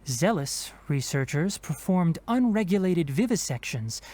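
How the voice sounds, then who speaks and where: An actor playing a young male forest ranger scholar in an anime-style game, calm, principled and gently stern, speaking in a measured, explanatory way. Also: fairly high pitch. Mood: thoughtful.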